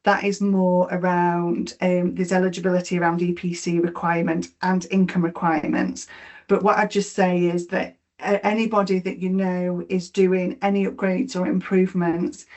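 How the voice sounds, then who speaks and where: A middle-aged woman with a Yorkshire accent walks through a presentation, her voice on the low side for a woman. She keeps to a narrow, even pitch. Her delivery is explanatory, full of "um"s and small restarts. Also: moderate pace. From Yorkshire, England. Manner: explanatory, with frequent fillers and repeated words. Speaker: mortgage lender presenter. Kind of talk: webinar presentation.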